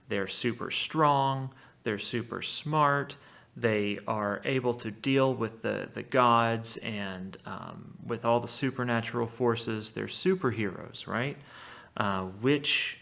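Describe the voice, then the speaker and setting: Creaky-voiced man reading aloud. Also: fairly low pitch, sharp tone. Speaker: teacher reading a story aloud. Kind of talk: read-aloud storytelling with casual lesson commentary.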